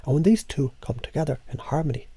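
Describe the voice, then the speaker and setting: A man leading a slow, hypnotic voice exercise as a coach, speaking softly and often half-whispering. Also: on the high side, husky, no room sound. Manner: soft, calm, half-whispered delivery.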